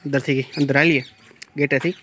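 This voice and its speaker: A man's voice: mid-range voice, fairly even intonation, a little fast, a bit bright, a little hiss or hum. A man, chatting casually as he walks viewers around a riverside temple on a phone camera. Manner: chatty, pointing things out as he walks. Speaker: village vlogger. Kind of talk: casual guided tour.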